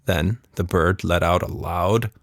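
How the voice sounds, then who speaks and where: A man narrating a scripted children's story as podcast host, with expressive, dramatic delivery. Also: sits low, measured pace, vocal fry, no room sound, studio quality.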